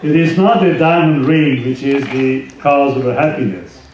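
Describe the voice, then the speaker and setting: A man speaking as a swami, giving an informal spiritual talk and reading aloud from a book, with halting conversational asides. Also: mid-range voice, a little mellow. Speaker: swami, yoga teacher. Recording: roomy echo, distant mic, dull sound, faint noise.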